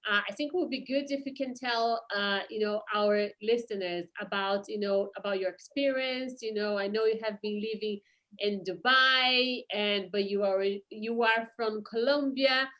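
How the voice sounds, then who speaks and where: A woman hosting a business podcast, her voice sitting at an ordinary middle pitch. She talks hesitantly, leaning on uh and you know as she frames her questions. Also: moderate pace, fairly bright tone. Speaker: podcast host. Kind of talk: podcast interview.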